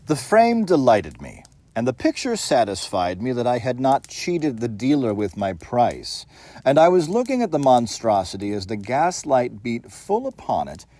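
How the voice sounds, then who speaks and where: A man reading a ghost story as dramatic narration, with measured, theatrical phrasing and deliberate pauses. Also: fairly low pitch, warm tone, dry recording. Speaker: audiobook reader.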